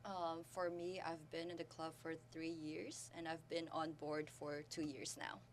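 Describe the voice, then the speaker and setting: A young woman from the Philippines with a fairly low voice. She talks casually as a student interview guest, with some noise and hum behind her. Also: level tone, a little slow. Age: early 20s.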